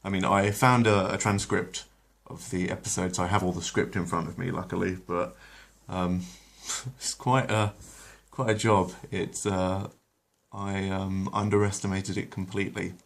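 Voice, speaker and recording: A very deep-voiced man reads a retold story in a relaxed storybook manner. When he steps off the script, he talks casually and hesitantly, with many pauses. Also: gravelly. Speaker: storyteller, channel host. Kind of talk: reading a retold episode aloud, then casual chat.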